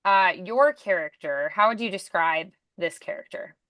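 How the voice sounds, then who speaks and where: A woman's voice: medium pitch, moderate pace, fairly bright tone, no room sound. A woman working as a voice acting coach, encouraging and affirming as she talks a student through questions one-on-one.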